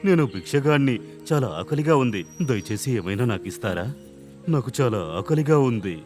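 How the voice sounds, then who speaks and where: A man's voice: medium pitch, expressive, a little fast, darkish tone, soft background music, lightly acted. A man voicing a weary, hungry, pleading wandering beggar in a children's cartoon.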